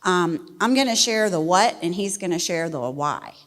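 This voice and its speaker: A woman's voice: fairly low pitch, moderate pace. Folksy woman speaking in a reverberant church. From West Virginia. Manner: folksy and animated, laughs easily. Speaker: missionary speaker. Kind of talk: church testimony talk. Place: church sanctuary. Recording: long reverb of a church hall.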